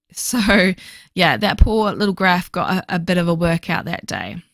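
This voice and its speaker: Slightly hoarse, chatty woman vlogger. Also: medium pitch, fairly bright tone, dry recording, studio quality. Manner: chatty, many fillers, talks fast. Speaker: book vlogger. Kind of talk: casual voiceover for a reading journal video.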